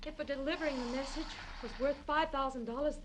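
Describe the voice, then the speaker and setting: An actress playing a scheming, defensive femme fatale with a halting, dramatic delivery in a 1940s radio crime drama. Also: female voice, high voice, steady tone, natural voice. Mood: anxious, confessing.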